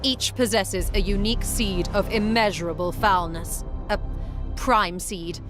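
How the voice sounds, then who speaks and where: An actress playing a zealous, self-assured inquisitor in a sci-fi game, lofty and theatrical, speaking deliberately. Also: female voice, mid-range voice, fairly even intonation, fairly bright tone, faint music behind, lightly acted. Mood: ominous, proud.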